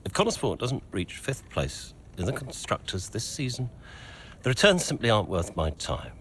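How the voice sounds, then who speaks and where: A man's voice: medium pitch, huge pitch swings, moderate pace, fairly bright tone, slight background noise. An actor playing a cold, wealthy investor and father who speaks in a curt, clipped way. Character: cold rich male investor, older father.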